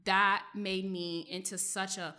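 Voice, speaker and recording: Young woman, journalist, raised in Maryland, first language French; bright tone, loose conversational delivery with fillers. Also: mid-range voice, level tone, slow. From Maryland, USA. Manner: chatty, many fillers, laughs often. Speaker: journalist, guest. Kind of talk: casual interview storytelling.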